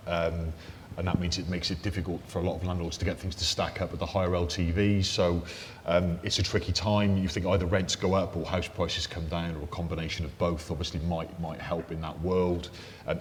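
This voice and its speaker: A man with a very low, deep voice speaks on a panel discussion, loose and conversational with plenty of fillers. The sound carries a long hall reverb with a faint hiss beneath it. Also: little pitch movement, fairly quick. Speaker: mortgage lender panelist. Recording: echoey hall, faint noise.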